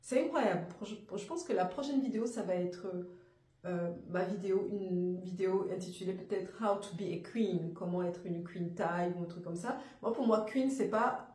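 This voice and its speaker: A woman with a hoarse voice from a cold, presenting an afro hair care course in a halting, thinking-aloud, earnest way. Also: mid-range voice, mellow, echoey room. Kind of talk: informal spoken course presentation.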